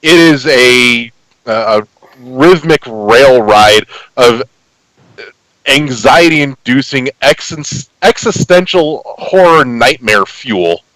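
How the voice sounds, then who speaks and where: A man talks games casually on a podcast in a middling-pitched voice. His descriptions are vivid, exaggerated and salted with profanity. The sound is close and dry. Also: animated, takes it slowly, fairly bright tone, no room sound, poor audio. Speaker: podcast guest and game reviewer. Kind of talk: casual chat about video games.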